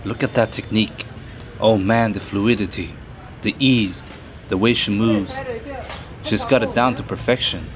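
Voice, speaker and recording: A man's voice: on the low side, fairly even intonation, unhurried, long pauses, background noise. A man from Sweden narrating a travel vlog in a playful, joking manner. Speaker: travel vlogger. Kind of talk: voice-over narration. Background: faint music.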